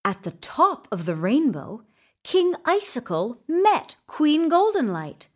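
Female children's storyteller: high-pitched, animated, expressive, lingering on dramatic pauses. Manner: expressive, deliberate, dramatic pauses for young listeners. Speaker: storyteller reading a children's book. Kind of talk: scripted children's story narration.

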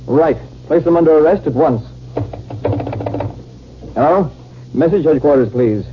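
An actor playing a smooth, duplicitous army captain in a 1950s radio drama. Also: male voice, a little high, unhurried, lightly acted. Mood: brisk, commanding. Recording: muffled, hissy 1950s radio recording.

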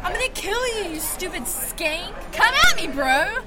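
A woman's voice: very high voice, big pitch swings, normal speed, over loud music. A woman playing a hot-headed, confrontational teenage schoolgirl in a radio comedy. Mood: furious. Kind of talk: amateur radio comedy acting. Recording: very loud crowd noise behind.

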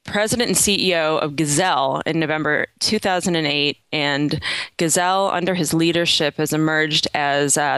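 A woman's voice: deep voice, fairly bright tone, clean tone, no room sound, poor audio. A woman from the area, hosting an interview show and introducing a guest, chatty with fillers. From Boston area. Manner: friendly, with fillers. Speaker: podcast host.

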